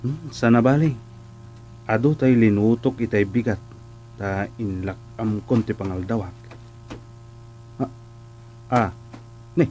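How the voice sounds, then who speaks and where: A man playing an excitable, fretful village sidekick in a comedic radio drama. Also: on the low side, slow, long pauses, a little hiss or hum, rough recording. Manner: exclaiming, fretful.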